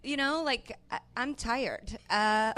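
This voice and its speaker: A woman's voice: fairly high pitch, takes it slowly, sharp tone. A woman on a panel chatting casually and comically in a weary, sarcastic manner.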